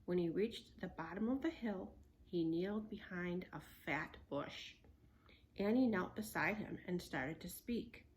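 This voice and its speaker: Slow-paced woman reading a children's story. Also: on the low side, a little hiss or hum, poor audio. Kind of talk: reading a children's book aloud.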